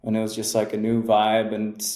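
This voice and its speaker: A young man in his twenties talks about making music in a relaxed, halting way, full of fillers and self-corrections. His voice is soft and mellow. The room around him is noticeably echoey. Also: on the low side. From England. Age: 20s. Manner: hesitant, many fillers and restarts. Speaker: music producer guest. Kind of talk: casual interview chat.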